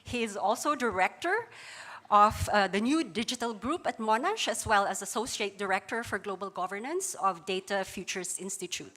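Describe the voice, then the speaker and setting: A woman's voice: medium pitch, expressive, moderate pace, fairly bright tone. A woman moderating a conference panel, thanking one speaker and introducing the next with many hesitations. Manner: hesitant, many filler sounds.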